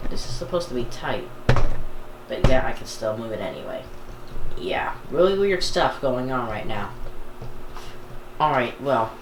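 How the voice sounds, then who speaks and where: A person talking casually and off the cuff for a vlog, rambling and often restarting sentences. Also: medium pitch. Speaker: video creator showing a radio collection. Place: indoor room. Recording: echoey room with background noise.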